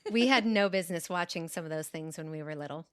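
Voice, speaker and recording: A woman's voice: low-pitched, quick pace, a bit bright, clear, resonant tone. A woman co-hosting a podcast, chatting casually in a playful, emphatic way. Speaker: podcast co-host. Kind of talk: casual chat.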